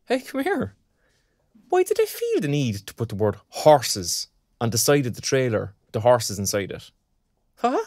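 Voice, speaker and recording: An actor with an Irish Cork accent playing a restless, sarcastic young graduate in a naturalistic audio drama. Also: male voice, medium pitch, huge pitch swings, a little slow, darkish tone, dry recording, clean studio sound, full character voice. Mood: sardonic. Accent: Irish, County Cork. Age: young adult. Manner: colloquial, sardonic.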